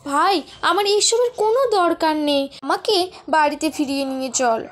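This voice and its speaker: A woman's voice: high-pitched, lively intonation, normal speed. A woman voicing a kind, caring young girl in a cartoon folk tale. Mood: anxious, insistent. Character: kind, generous young girl who feeds birds.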